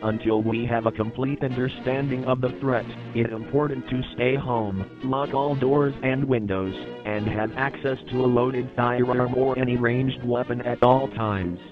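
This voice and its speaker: A measured, formal emergency announcer, played by a man, reading out warnings in a steady mid-range voice. The sound is muffled and lo-fi, like an old broadcast. Music plays clearly underneath. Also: mid-range voice, natural voice. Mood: grave.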